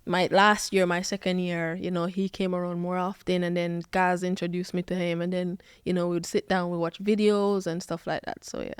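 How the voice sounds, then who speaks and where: Jamaican woman in her 20s, podcast guest, lowish smooth voice, dry close sound. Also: normal speed, hardly any pauses, dry recording. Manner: animated storytelling, acts out quoted lines. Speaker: footballer guest. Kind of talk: podcast interview.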